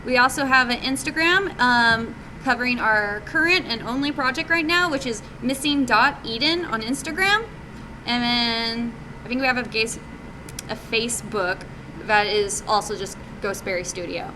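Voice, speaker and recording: Higher-voiced woman in a noisy room. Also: normal speed. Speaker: indie game developer presenting and taking questions. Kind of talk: informal audience Q&A at a meetup. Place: indoor meetup presentation, live. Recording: noisy live room recording, steady hum.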